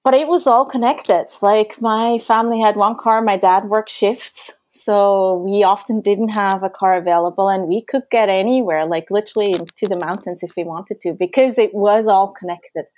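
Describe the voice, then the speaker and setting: A woman's voice: medium pitch, steady tone, normal speed, few pauses, darkish tone. Swiss-born woman chatting away on a video call panel, middle-aged, easy mid voice. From Switzerland. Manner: chatty, storytelling, laughs often. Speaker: transport organisation founder. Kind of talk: casual panel conversation.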